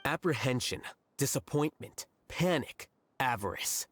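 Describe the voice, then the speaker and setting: Measured, aloof male scholar. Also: mid-range voice, animated, a bit bright, dry recording. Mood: detached, explanatory. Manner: measured, detached, articulate lecturing. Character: cool, aloof, analytical young male scholar. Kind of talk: scripted video game voice acting.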